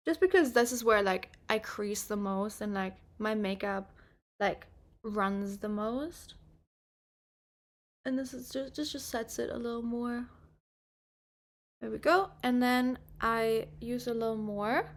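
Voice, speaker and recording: Chatty young woman, a bit high. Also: fairly bright tone. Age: 20s. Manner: rambling, many fillers, chatty. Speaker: livestreamer. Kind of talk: casual chat while doing makeup.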